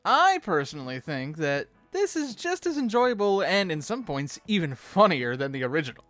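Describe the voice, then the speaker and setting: A man co-hosting a chatty fan commentary on an animated episode, bantering in an animated way. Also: on the high side, normal speed, no room sound, faint music behind. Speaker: co-host of fan commentary video.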